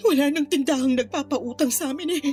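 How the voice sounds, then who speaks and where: A woman's voice: sits high, a little fast, darkish tone, lightly acted. An actress playing an ashamed, grieving mother in a radio drama, tearfully confessing and blaming herself as she cries. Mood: tearful shame. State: crying. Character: remorseful impoverished mother, a runaway aunt, ashamed and grieving. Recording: music bed under the voice.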